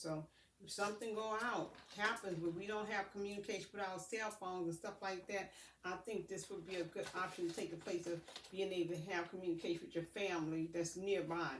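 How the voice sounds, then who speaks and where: A woman vlogger talks casually through an unboxing, pausing and feeling her way through sentences. She sounds set back from the microphone in an echoey room, with faint noise underneath. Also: on the low side. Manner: halting, addresses viewers as family. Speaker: vlogger showing purchases. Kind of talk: casual unboxing chat to camera. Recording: roomy echo, distant mic, faint noise.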